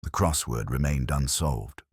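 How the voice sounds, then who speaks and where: A man playing a melancholy, cultured police inspector, narrating a literary detective drama in a measured, reflective way. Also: very deep voice, breathy edge, dry recording, studio quality, exaggerated voice. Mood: brooding, melancholy. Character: melancholy, cultured male police inspector, world-weary and introspective. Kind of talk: slow, literary scripted audio-drama narration.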